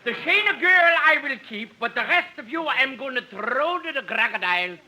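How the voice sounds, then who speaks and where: An actor playing a cruel, boastful German professor who barks pompous orders, in 1950s radio comedy style with a German accent. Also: male voice, very high voice, lively intonation, moderate pace, bright, forceful tone, heavily acted. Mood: bossy, irritable. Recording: noisy old radio broadcast recording.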